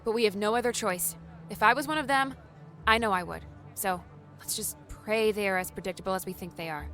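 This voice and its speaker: A man's voice: high voice, fairly quick, sharp tone, voice pushed up. A man voicing a determined young woman who leads a rescue, with firm, urging commands. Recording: background music. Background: music.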